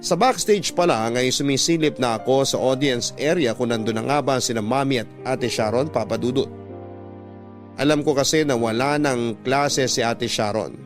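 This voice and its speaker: A Filipino man narrates a personal letter in a scripted radio drama style. His voice is mid-pitched, with music clearly playing behind him. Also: normal speed. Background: background music.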